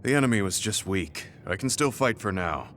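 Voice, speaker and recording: An actor playing a weary, remorseful veteran soldier in a video game, speaking in an earnest, apologetic and measured way. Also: male voice, on the low side, expressive, a bit bright, slight background noise. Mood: somber, resigned. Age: older. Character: weary, remorseful veteran male soldier, humble and self-reproachful. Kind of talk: video game English voice acting. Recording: game music behind the voice. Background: game music.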